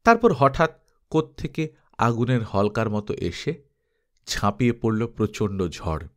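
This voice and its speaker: A man narrating an adventure story as an audiobook, in an expressive, suspenseful, dramatic style. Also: fairly low pitch, measured pace.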